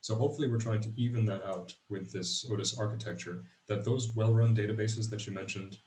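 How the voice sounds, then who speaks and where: A man on the project team explaining things calmly in an online meeting, heard in an echoey room away from the mic. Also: on the low side, a little fast. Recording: echoey room, far from mic, faint noise.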